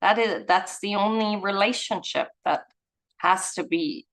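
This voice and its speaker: A woman's voice: medium pitch, animated, a little slow, a bit bright. A woman leading a study group, reading aloud and adding informal, musing, effusive personal commentary in a casual way. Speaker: reader and study group leader.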